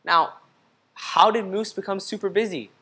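Young man reading to children, higher-pitched voice. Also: steady tone, moderate pace, pushed and bright, slightly rough. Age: young adult. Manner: instructive, addressing young children. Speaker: storybook reader. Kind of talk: read-aloud children's storytelling with questions to the listener. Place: indoor studio room. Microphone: lapel mic.

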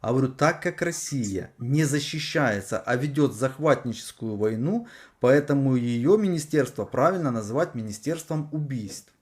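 A man arguing on a video chat, asking calm, persistent, pointed questions. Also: mid-range voice, normal speed. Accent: Ukrainian. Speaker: chat roulette host. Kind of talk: argumentative chat roulette debate.

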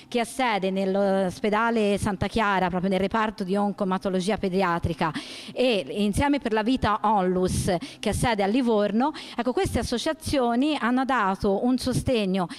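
A woman's voice: medium pitch, normal speed. A woman speaking for the organizers of a charity sports event, explaining it in an earnest, careful way into a handheld mic in a large gym hall.

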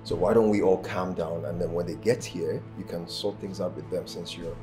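An actor with a Nigerian accent playing a loyal, composed assistant and friend in a screen drama. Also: male voice, low-pitched, steady tone, normal speed, over music, natural voice. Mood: firm, calm.

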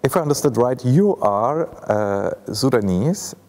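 A man's voice: medium pitch, lively intonation, a little slow, darkish tone. A man in his forties with a German accent, hosting a festival film talk and asking thoughtful, hesitant questions. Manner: thoughtful, hesitant with many fillers. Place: ornate hall, indoors.

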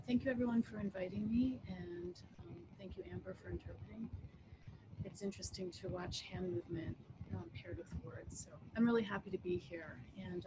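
A woman's voice: medium pitch, background noise. A middle-aged woman, a guest poet, talking casually on a video call from a room at home. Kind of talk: casual introduction before a reading. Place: book-lined room at home. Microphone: computer microphone on a video call.

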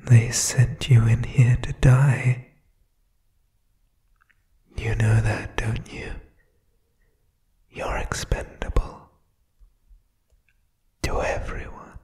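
A man's voice: medium pitch, mellow. A man playing a sadistic, doting demonic father in an ASMR horror roleplay, whispering with sinister mock tenderness. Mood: tender, sinister.

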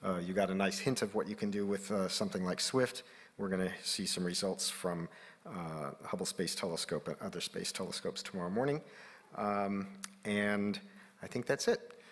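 A man with a very low, husky voice runs a press conference from the podium and talks plainly, with lots of fillers. He speaks into a podium microphone in a conference room. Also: moderate pace. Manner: plain, conversational, hesitant with fillers. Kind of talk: moderating a press Q&A.

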